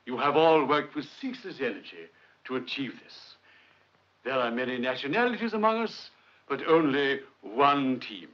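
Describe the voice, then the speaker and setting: An actor playing an earnest scientific leader in a 1950s film, speaking in formal, measured oratory. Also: male voice, medium pitch, expressive, a bit bright, natural voice. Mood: solemn, proud. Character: earnest scientific leader addressing his team. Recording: muffled old film soundtrack.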